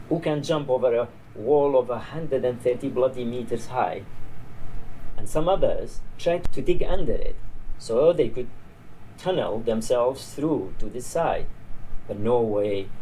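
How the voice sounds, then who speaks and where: A man's voice: mid-range voice, animated, a little slow, dark tone, lightly acted. A man reading the part of a tired old border guard in a story, chatty, dismissive and grimly amused. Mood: mocking, emphatic. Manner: weary, chatty, discouraging. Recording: noisy live reading in a bar.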